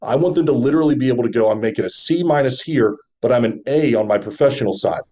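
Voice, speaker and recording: A man with a deep voice, a podcast guest giving confident motivational advice on branding, asking rhetorical questions. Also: quick pace, warm tone.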